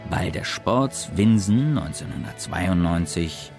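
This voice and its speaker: An actor playing a solemn announcer who lists facts deadpan, with a deep, creaky voice, in a radio drama. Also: male voice, slow, music in the background, full character voice. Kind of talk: scripted radio drama narration.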